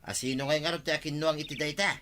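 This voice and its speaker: A man's voice: fairly high pitch, steady tone, fast, bright, forceful tone. A man playing a weary, self-pitying farmhand in an audio drama, with an Ilocano accent. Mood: curious. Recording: noisy track with chirping birdsong.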